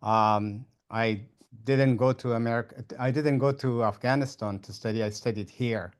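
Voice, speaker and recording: An older man, a retired architect and professor, introduces himself in an online meeting. He speaks in a measured, plain way. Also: medium pitch, fairly even intonation, clean tone. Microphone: video call.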